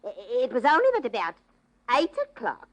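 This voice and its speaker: A gossipy working-class English housewife in an old radio drama. Her fairly high voice swings widely in pitch as she rambles and justifies herself in homely phrases. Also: huge pitch swings, a little fast. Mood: defensive, gossipy. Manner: rambling, folksy, self-justifying. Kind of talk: old-time radio character acting.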